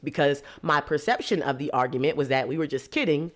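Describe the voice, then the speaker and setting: A woman, hosting a podcast and explaining ideas in a lively, laughing, casual way. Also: deep voice, brisk. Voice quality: nasal. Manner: lively, laughs a lot, teasing. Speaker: podcast host. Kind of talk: casual teaching talk.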